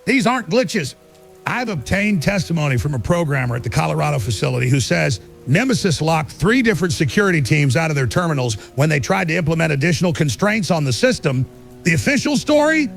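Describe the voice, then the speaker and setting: A man playing an alarmist conspiracy radio host, delivering an urgent, insistent monologue in a gravelly voice. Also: a little high. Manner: urgent, insistent, addresses listeners as folks.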